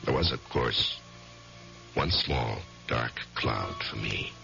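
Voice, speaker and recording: A low-voiced, uneasy middle-aged American husband in a vintage radio drama, his delivery swinging widely in pitch. He sounds haunted by guilt, and the old recording is dull, with music behind. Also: lively intonation, takes it slowly, vocal fry, full character voice. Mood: brooding, confiding. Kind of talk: 1970s radio drama acting, first-person narration and dialogue.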